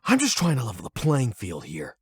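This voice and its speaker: Man voicing young male delinquent, hurt, defiant, sweary, husky edge, swinging pitch, close dry sound. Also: wildly swooping pitch, fairly quick, no room sound, full character voice. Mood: bitter, defiant. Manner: sweary, sarcastic, confrontational. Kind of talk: emotional anime-style audio roleplay acting.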